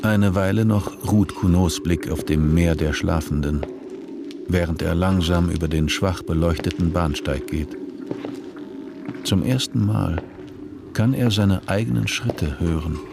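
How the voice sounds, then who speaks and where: A man narrating a scripted radio play in a measured, literary manner. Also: sits low, gravelly, noisy background.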